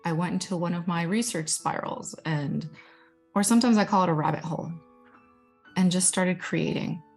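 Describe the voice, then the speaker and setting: A young woman from Guatemala, a Spanish speaker from birth, talks through her artwork in a chatty, enthusiastic way. Her voice sits a little low. Soft music plays faintly behind her. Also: vocal fry. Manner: chatty and enthusiastic, self-deprecating asides. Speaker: digital storytelling manager. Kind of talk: informal presentation showing her artwork.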